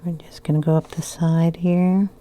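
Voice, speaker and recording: A woman narrating a coloring tutorial, soft-spoken and unhurried, often slipping into a whisper as she loses her voice. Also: fairly low pitch, little pitch movement. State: losing her voice. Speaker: coloring channel host.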